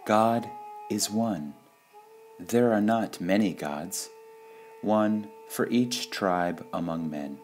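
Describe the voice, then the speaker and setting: A man reads short spiritual sayings in a measured, deliberate devotional style, pausing between phrases. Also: on the low side. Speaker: reader of spiritual quotations. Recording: music under the voice. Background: background music.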